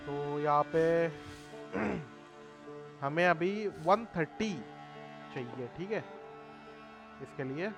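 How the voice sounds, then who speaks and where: Indian male gamer, very rapid, higher-pitched delivery, casual commentary with a cold-affected throat. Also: expressive. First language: Hindi. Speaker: gaming YouTuber. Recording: game music behind the voice. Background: video game music and dialogue.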